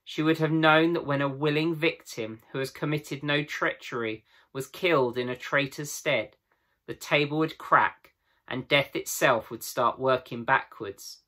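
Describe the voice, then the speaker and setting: A man voicing a great, wise male lion in a storybook, speaking in a measured, solemn manner. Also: fairly high pitch, bright, forceful tone. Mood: solemn explaining.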